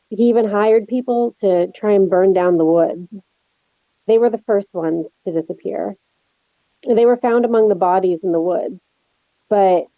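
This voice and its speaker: Female best friend, horror drama, read by an actress: teasing, protective, wry, gravelly fry, dull lo-fi sound. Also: mid-range voice, no echo, close to an everyday voice. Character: Lex, teasing, protective young woman friend. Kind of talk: table read of a horror film script.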